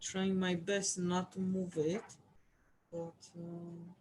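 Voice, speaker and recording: Slow, hesitant art teacher. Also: medium pitch, flat delivery, darkish tone, a little hiss or hum. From Romania. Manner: hesitant, many fillers, chatty asides to viewers. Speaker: art class instructor. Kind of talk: casual craft tutorial.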